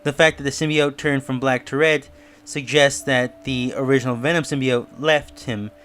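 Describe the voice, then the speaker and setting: A man's voice: medium pitch, fairly even intonation, normal speed, fairly bright tone, clear, resonant tone. A man giving a casual review and theory commentary in a musing, speculative way. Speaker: YouTube reviewer. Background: music.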